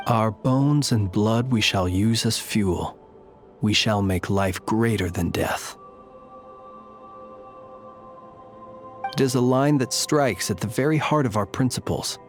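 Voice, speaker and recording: A man voicing a calm, courtly lightkeeper in a video game, speaking in formal, measured, old-fashioned phrases. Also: on the low side, close to an everyday voice. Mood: solemn.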